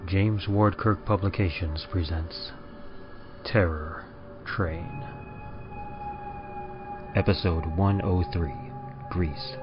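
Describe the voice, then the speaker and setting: A man's voice: sits low, steady tone, slow, pauses often. A man playing an ominous barker and storyteller in an old-time radio horror show, grave and theatrical. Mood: grave announcing. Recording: muffled, with prominent music behind.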